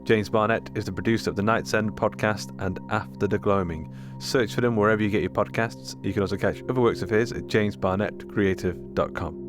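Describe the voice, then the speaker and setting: A man's voice: on the low side, brisk. A man reading a scripted podcast outro as the announcer, with music underneath.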